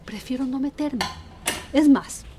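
A woman's voice: high-pitched, unhurried, background noise. An actress playing a proud, stubborn middle-aged mother in a radio soap, with an emphatic, dismissive way of drawling key words. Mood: firmly refusing. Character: proud, stubborn, ambitious mother set on modern city ways. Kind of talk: radio soap opera acting.

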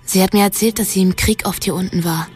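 A woman's voice: medium pitch, steady tone, fast, a little mellow, slightly rough, soft background music. An actress playing a headstrong, secretive young woman in a German radio drama, telling things bluntly and conspiratorially. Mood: conspiratorial storytelling. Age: young adult.

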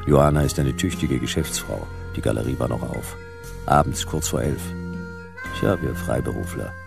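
An actor playing a world-weary, wisecracking private detective, narrating a radio drama in a dry, laconic, ironic way. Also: male voice, rumbling low, moderate pace, music in the background, full character voice. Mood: dry, wry. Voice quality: gravelly.

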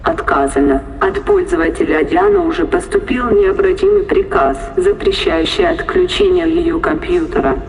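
Ship computer, high, sharply swinging intonation, impersonal, procedural, obedient, science fiction radio drama. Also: male voice, wildly swooping pitch, moderate pace, hardly any pauses, exaggerated voice. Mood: impassive, formal. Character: obedient formal voice of a spaceship computer.

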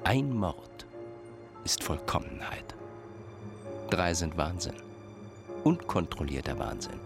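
Sinister doctor in a crime radio play, super deep gravelly voice, measured and cold, music under it. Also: male voice, sing-song, full character voice. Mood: coldly menacing. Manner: measured, clinical, ominous. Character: menacing male doctor, coolly controlling a killer accomplice. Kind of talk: German radio crime drama acting.

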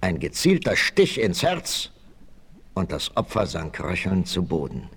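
A man's voice: deep voice, huge pitch swings, unhurried, creaky, a bit bright, husky. A man narrating a 1960s adventure audio play in a dramatic, suspenseful, emphatic scripted style. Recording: noisy 1968 vinyl transfer.

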